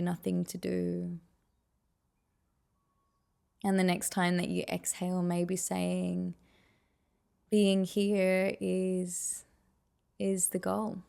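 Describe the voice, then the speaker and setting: A woman leading a guided meditation with soft, soothing, unhurried and gently encouraging instruction. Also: on the low side, monotone, gravelly, clean tone.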